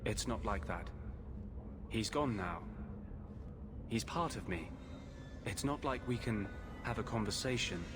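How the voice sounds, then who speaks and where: Brooding low-voiced tormented mage. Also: male voice, animated, unhurried, long pauses, music in the background. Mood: somber, confessional. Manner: brooding, earnest, intense. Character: tormented male healer mage possessed by vengeful spirit. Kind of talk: dramatic video game acting.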